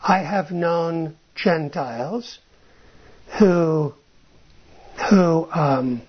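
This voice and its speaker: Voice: mid-range voice, very slow, slightly rough. A Bible teacher talking informally, often restarting phrases and checking in with a questioning "right?". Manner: ends points with a questioning "right?"; restarts phrases, thinks aloud. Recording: dull lo-fi sound.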